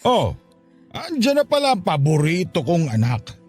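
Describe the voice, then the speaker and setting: Casting: man as sulky, tipsy dad for radio drama; high, animated voice, self-pitying and combative. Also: normal speed. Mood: tipsy, needling. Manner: sulky, argumentative. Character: tipsy, self-pitying, defensive father of a poor family. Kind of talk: Tagalog radio drama acting. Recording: music behind the voice. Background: music.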